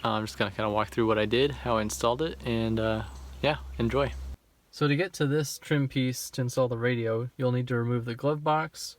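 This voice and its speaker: A man giving a casual, low-key hands-on tutorial in a plain explaining way, on a noisy amateur recording. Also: steady tone, fairly quick. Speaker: DIY car video host.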